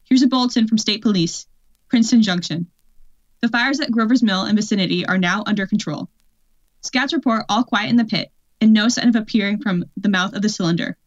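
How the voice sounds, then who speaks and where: A woman's voice: medium pitch, fairly quick, close to an everyday voice. A woman playing a composed radio newsreader, reading bulletins formally and matter-of-factly in a radio play. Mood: formal, sober. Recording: dull, band-limited sound.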